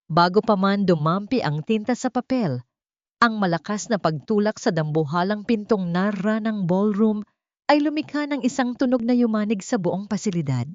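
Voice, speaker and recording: A woman narrates a dramatic audiobook story with theatrical suspense. Her voice sits fairly low for a woman. The recording is dry and close, though somewhat muffled. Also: darkish tone, dry recording.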